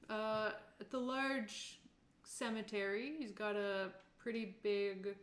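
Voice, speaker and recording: A woman's voice: medium pitch, fairly even intonation, takes it slowly, echoey room, natural voice. A woman playing a nervous, evasive middle-aged man who owns a theatre, speaking hesitantly and defensively in a tabletop game. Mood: evasive, uneasy.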